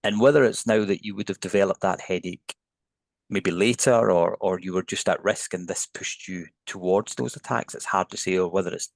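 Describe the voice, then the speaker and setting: Scottish man, middle-aged, low pitched, measured and hedging, podcast guest. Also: fairly even intonation, vocal fry, dry recording. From Scotland. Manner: thoughtful, hedging explanations with restarts. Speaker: headache neuroscientist guest. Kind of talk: podcast interview.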